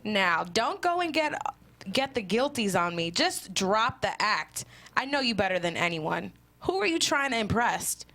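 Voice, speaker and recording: A no-nonsense motel woman , acted by a woman at a script read. She is folksy, motherly and blunt, teasing at a middling pitch as in a crime comedy. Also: very animated, unhurried, sharp tone, exaggerated voice. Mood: skeptical, teasing.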